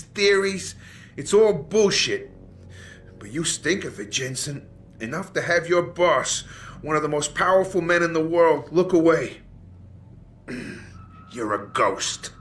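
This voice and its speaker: A man playing a wounded, bitter investigator in a video game drama, halting and sardonic as he struggles through pain. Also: fairly high pitch, a bit bright, a little hiss or hum. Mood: bitter, woozy, mocking. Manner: halting, sardonic, repeating himself. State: in pain, slurring and faltering. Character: gravely wounded, bitter, foul-mouthed older investigator on morphine.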